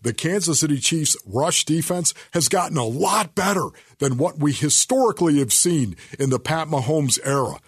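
A man co-hosting sports talk radio with a husky voice and a folksy, exclamatory, repetitive emphasis. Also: fairly high pitch, expressive, moderate pace, hardly any pauses, no echo, studio quality. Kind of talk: football analysis talk.

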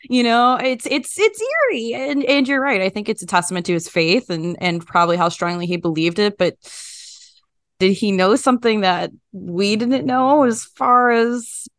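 A woman hosting a podcast in a conversational, informal and expressive way. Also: a little high, normal speed. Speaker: podcast host.

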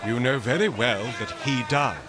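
An actor playing a fussy, loyal English manservant in a radio drama, speaking with formal precision and exasperated politeness. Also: male voice, medium pitch, expressive, normal speed, natural voice. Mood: polite, strained.

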